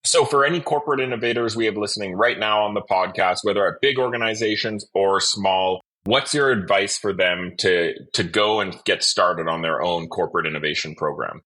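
A man's voice: fairly low pitch, fairly quick, hardly any pauses, pushed and bright, husky. A man hosting a podcast, interviewing in a conversational way.

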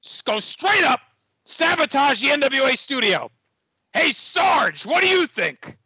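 A man playing an exasperated, bombastic wrestling company boss in a comic audio play, with a raspy, strained voice. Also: very high-pitched, very animated, measured pace, pushed and bright, no echo, highs cut off, full character voice. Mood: irritated, scheming.

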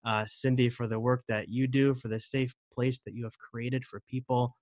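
A man's voice: mid-range voice, flat delivery, normal speed, darkish tone, dry recording. Chatty male podcast host, dry sound. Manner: friendly, chatty with fillers. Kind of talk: casual interview chat.

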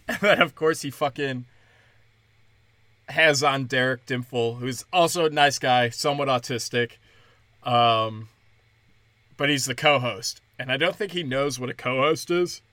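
A young man, a stand-up comedian, rambling crudely and mockingly through a solo podcast, breaking into high mimicking voices. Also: a little slow, pushed and bright, dry recording.

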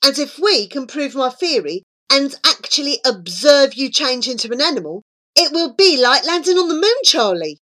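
Confident schoolgirl schemer for children's fiction, acted by a woman, bossy, emphatic, very animated delivery. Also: sits high, pitched above the performer's own voice, heavily acted. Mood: exasperated, persuasive. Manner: emphatic, coaxing, brisk. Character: bossy, confident young girl, persuasive schemer. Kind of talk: children's storybook character voice.

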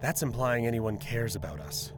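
An actor playing a bleak, sardonic thrall, a worn-out and fatalistic survivor, in an audio drama. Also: male voice, medium pitch, animated, moderate pace, background noise, natural voice.

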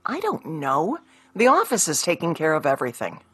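Woman acting an animated, patient ex-wife, radio play. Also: low voice, moderate pace, breathy edge, exaggerated voice. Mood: placating. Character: patient, practical career woman, an exasperated ex-wife.

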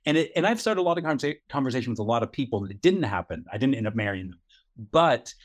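A man's voice: medium pitch, big pitch swings, rapid-fire. A man co-hosting a podcast, giving earnest, encouraging advice in a casual chat and repeating points for emphasis. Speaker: podcast co-host.

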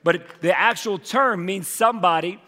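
Hoarse pastor guy, voice going, kinda high, echoey church hall, chill sermon with lots of uhs. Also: moderate pace, sharp tone. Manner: casual, many filler "uh"s, conversational. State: losing his voice, hoarse. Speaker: lead pastor. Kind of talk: sermon with announcements. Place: church auditorium.

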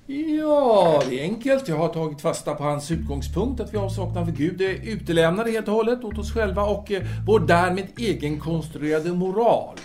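A man's voice: high-pitched, expressive, few pauses, a little mellow. A man playing a self-absorbed intellectual philosopher in an audio drama, speaking formally and hesitantly, wordy and absent-minded. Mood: detached, pedantic.

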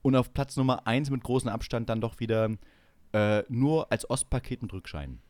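A man's voice: mid-range voice, moderate pace, darkish tone, dry recording. Teasing male comedy podcast host, dry sound. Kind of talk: casual comic banter.

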